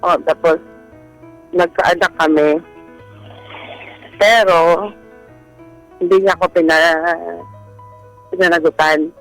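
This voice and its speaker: A woman's voice: fairly low pitch, steady tone, slow, long pauses, a bit bright, poor audio. A woman with a Filipino accent telling a personal story as a guest, in a casual and hesitant style. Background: background music.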